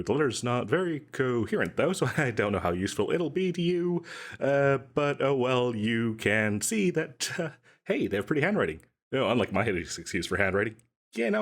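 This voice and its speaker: A man acts out a shady matchmaking salesman for a comedic audio roleplay. He is jittery and far too eager, rambling through hesitant asides. His mid-pitched voice swoops up and down with lively, animated swings. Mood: chatty, flustered. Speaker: voice actor performing a scripted audio roleplay.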